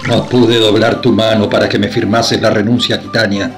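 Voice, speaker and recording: A man's voice: fairly low pitch, little pitch movement, fairly quick, natural voice. An actor playing a coarse, boastful, mocking medieval king in a historical radio drama, with an Argentine accent and a goading manner. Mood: bitter, insulting. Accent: Argentine Spanish. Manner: sneering, goading. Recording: roomy echo, music under the voice.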